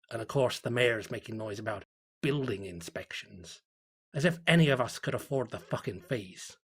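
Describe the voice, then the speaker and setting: A man's voice: mid-range voice, breathy edge, close to an everyday voice. A man voicing a grumpy, weary small-town fisherman, reading his sardonic diary with dry grumbling and swearing.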